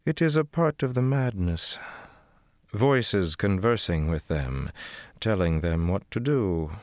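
A man voicing an older woman sorceress who speaks slowly, in a measured and unshakably composed way. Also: on the low side, sing-song, dry recording, studio quality, pitched above the performer's own voice. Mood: calm.

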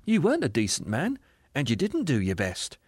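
A man voicing a joyful, forgiving young spirit in a dramatised audiobook, gentle and amused as he coaxes. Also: mid-range voice, huge pitch swings, normal speed, husky, dry recording, clean studio sound. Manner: gentle, amused, lightly coaxing. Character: joyful, forgiving young male heavenly spirit, once a murderer.

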